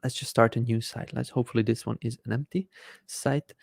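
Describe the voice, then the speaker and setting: A man in his thirties whose first language is Hungarian, hosting a live Q&A and explaining software step by step from a desk microphone in a small room. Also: medium pitch, fairly even intonation, brisk, gravelly, no room sound, studio quality. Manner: explains step by step while clicking through software. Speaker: host answering viewer questions. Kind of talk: live Q&A with screen-share demonstration. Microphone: desk microphone in front of face.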